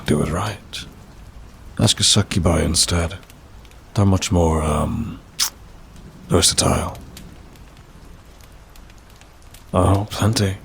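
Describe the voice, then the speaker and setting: A man playing a weary, sardonic demon in an ASMR roleplay, with a dry, haughty delivery and drawn-out sighs. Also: extremely low pitch, vocal fry, a little hiss or hum, exaggerated voice. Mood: patient, condescending. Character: weary, sardonic male demon, aloof bureaucrat of damnation.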